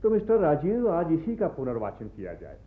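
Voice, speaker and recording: An actor playing a genial, bookish gentleman in a radio play, musing to himself and narrating a story expressively. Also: a little high, huge pitch swings, brisk. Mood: browsing, pleased. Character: bookish gentleman reading stories aloud at bedtime, genial. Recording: muffled narrow-band radio recording with music.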